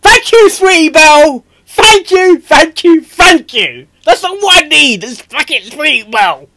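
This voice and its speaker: Excitable, high-voiced man reacting off the cuff. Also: unhurried. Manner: excitable, rambling, stammering and repeating himself. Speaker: reaction video host. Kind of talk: casual off-the-cuff reaction commentary.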